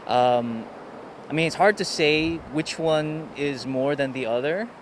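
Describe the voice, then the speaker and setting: American man over clear traffic noise. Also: on the high side, a little slow. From Chicago, United States. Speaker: interviewee. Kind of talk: casual street interview answers. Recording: clear street traffic and hum.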